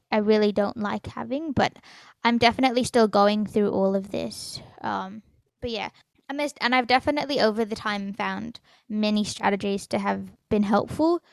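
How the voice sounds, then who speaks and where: A teenage girl hosting her own podcast, talking in a halting way with stutters, fillers and restarts, often trailing off quietly. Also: mid-range voice, fairly even intonation, creaky, no room sound. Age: teens. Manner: soft, trails off quietly at phrase ends. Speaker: podcast host. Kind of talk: solo personal podcast.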